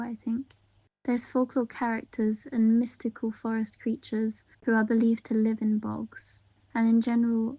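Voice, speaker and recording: A woman reading interview answers aloud in the first person, in an even, gentle and unhurried way. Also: fairly high pitch, dry recording, dull audio.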